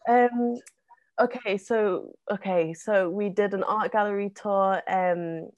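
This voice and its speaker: A woman, a guest on a casual podcast, talking with many ums and likes and getting animated in bursts. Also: mid-range voice, slow, no room sound, dull audio. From North West England. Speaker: interview guest, zine co-founder.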